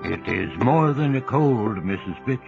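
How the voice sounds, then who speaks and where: An actor playing a grave male country doctor delivering bad news gently. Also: medium pitch, animated, a little slow, gravelly. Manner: sombre and gentle. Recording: dull lo-fi audio with faint music.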